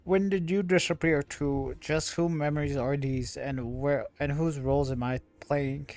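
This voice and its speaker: A man's voice: fairly high pitch, fairly even intonation, a little slow, a little mellow, soft background music. A man livestreaming a game, reacting casually to its story as it plays.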